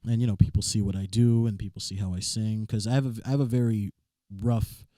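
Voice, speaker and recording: A young man with a raspy voice, a musician chatting as a guest, often restarting his sentences. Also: lowish voice, fairly even intonation, fast talker, mellow, no room sound. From Southern California. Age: young adult. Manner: rambling, stammers on restarts. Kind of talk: casual interview chat.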